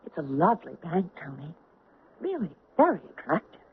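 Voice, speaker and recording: An actress playing a gracious, candid young bride-to-be in a radio drama, polite and composed. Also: female voice, on the low side, big pitch swings, fairly quick, phone-quality sound, a little hiss or hum. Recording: band-limited old radio recording.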